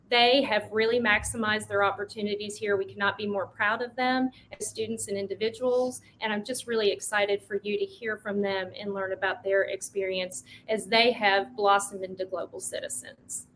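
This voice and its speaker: A woman's voice: medium pitch, fairly even intonation, a bit bright, slight background noise, low-quality recording. A woman moderating a college panel, upbeat and welcoming, with frequent ums. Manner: upbeat, fills pauses with um. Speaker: moderator, admissions dean.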